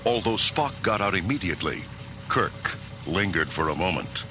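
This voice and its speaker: A man's voice: lively intonation, moderate pace. A man narrating a children's adventure storybook from a script as its narrator. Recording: narration over very loud music, rumbling effects.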